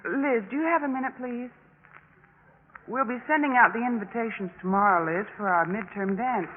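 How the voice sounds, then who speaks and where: An actress playing a bossy, snobbish young sorority leader in a 1950s radio drama, brisk and officious. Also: female voice, a little high, natural voice. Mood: exasperated. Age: young adult. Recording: tinny, noisy 1950s radio recording.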